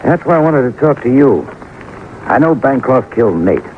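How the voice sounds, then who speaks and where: A man's voice: mid-range voice, sing-song, normal speed, lightly acted. An American man playing a shrewd, persistent police sergeant in an old-time radio detective drama, speaking in a terse, businesslike way. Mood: businesslike, intent. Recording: tinny, noisy old radio recording.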